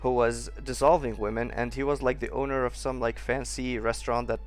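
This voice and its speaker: A man's voice: mid-range voice, little pitch movement, brisk, faint music behind. A man giving casual playthrough commentary as a gaming streamer, rambling and incredulous.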